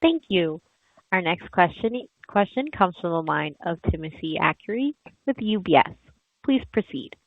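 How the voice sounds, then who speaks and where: Lively-pitched female operator on a phone line. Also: normal speed, no echo. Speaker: conference call operator. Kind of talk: scripted call announcements. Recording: dry, narrow phone line.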